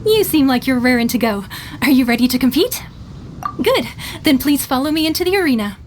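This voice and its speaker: A woman's voice: high-pitched, expressive, fairly quick, slight background noise. A woman playing a polite, helpful young tournament clerk in an anime game, speaking in a courteous, slightly formal way. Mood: encouraging.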